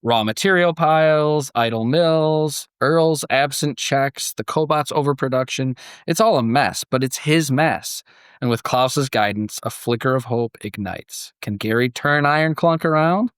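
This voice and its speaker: A man's voice: medium pitch, unhurried, smooth, no echo, clean studio sound. A man hosting a podcast, moving between conversation and scripted story narration. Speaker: podcast host.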